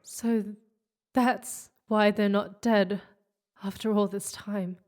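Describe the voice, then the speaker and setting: A woman's voice: mid-range voice, steady tone, slow, a little mellow, lightly acted. An actress playing a worried young woman in an audio drama, tense and full of urgent questions. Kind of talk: scripted audio drama acting.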